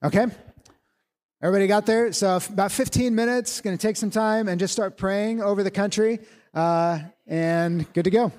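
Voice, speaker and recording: A man with a somewhat high, mellow voice leads a church gathering. He hands out instructions to tables of people in a relaxed, joking way. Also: moderate pace. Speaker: church leader. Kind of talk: leading a church family meeting. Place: meeting hall with tables of people.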